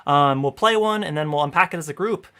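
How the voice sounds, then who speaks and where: A man's voice: fairly high pitch, quick pace, bright, forceful tone, clean tone. A man in his thirties hosting a casual livestream in an upbeat way, with a lot of "you know" fillers, speaking into a headset at home. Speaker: host. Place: home room.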